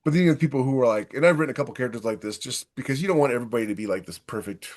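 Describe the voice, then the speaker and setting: A man's voice: mid-range voice, rapid-fire. A man co-hosting a podcast, explaining his views in casual, conversational discussion. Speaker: podcast co-host.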